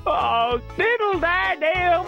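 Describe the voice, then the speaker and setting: A man voicing a put-upon, dim pitchfork in a video game, complaining and full of self-pity. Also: expressive, slow, vocal fry, highs cut off, soft background music, exaggerated voice. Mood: dismayed.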